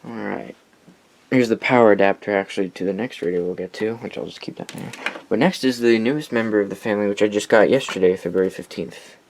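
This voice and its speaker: A man's voice: lowish voice, fast, a little hiss or hum. A young American man giving a casual, rambling show-and-tell as a hobbyist, with enthusiastic asides. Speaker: hobbyist collector presenting weather radios.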